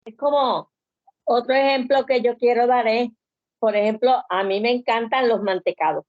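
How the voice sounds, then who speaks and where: A woman sharing an example in a group Bible study, quick and lighthearted. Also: a little high, steady tone. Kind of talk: casual sharing. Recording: dry, muffled call audio.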